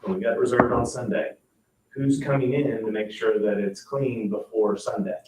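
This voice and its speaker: Low-voiced man in an echoey meeting. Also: steady tone, normal speed. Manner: questioning, thinking out loud. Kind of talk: informal meeting discussion. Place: indoor public meeting room. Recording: echoey room, distant mic, faint noise.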